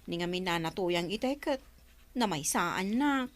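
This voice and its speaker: A woman's voice: on the low side, lively intonation, measured pace. A woman playing a grumbling village storekeeper in a comedic radio drama, complaining in an Ilocano accent.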